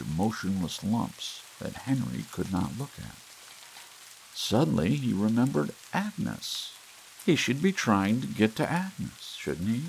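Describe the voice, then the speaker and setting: Deep gravelly male storyteller. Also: slight background noise, low-quality recording. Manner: expressive storytelling with lively rises and soft low passages. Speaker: audiobook narrator. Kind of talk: ASMR story reading. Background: soft rain sounds.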